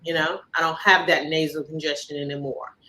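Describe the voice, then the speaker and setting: A woman hosting a casual interview chat, animated and repeating phrases for emphasis. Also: low voice, fairly quick, poor audio. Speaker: podcast host.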